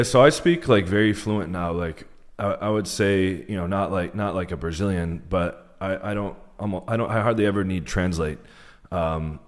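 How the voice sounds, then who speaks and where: A man with an American accent and a low-pitched voice talks as a guest on a podcast. His delivery is casual and rambling, with "like" scattered all through his sentences. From United States. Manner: rambling, peppered with "like". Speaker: podcast guest, dating coach. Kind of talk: casual conversational interview answers.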